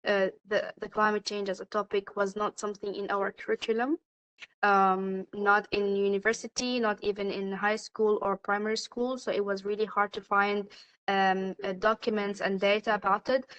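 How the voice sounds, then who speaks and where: Flat-voiced woman from Sudan, hesitant. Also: medium pitch, moderate pace, low-quality recording. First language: Arabic. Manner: hesitant, many fillers and restarts. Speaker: youth climate activist, panelist. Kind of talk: panel discussion.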